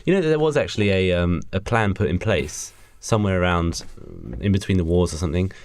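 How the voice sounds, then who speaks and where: A man's voice: low-pitched, sing-song, a little fast, a little hiss or hum. A British man in his twenties chatting casually as a podcast co-host while improvising a story. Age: 20s.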